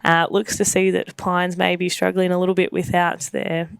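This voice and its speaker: A woman with a slightly croaky voice, co-hosting a casual netball chat as a coach, chatty with lots of fillers and laughs. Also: lowish voice, level tone, normal speed, dry recording.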